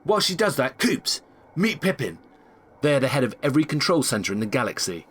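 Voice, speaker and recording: A man's voice: medium pitch, very animated, fairly quick, a bit bright, slightly rough. A man playing an enthusiastic, chatty space agent in a comic sci-fi audio drama. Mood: brisk, businesslike. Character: eager, chummy male space agent, family man.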